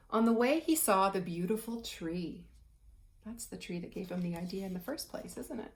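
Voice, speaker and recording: A woman reading aloud and explaining things to children in a conversational way, like a teacher. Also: mid-range voice. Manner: conversational, asks the listener questions while reading.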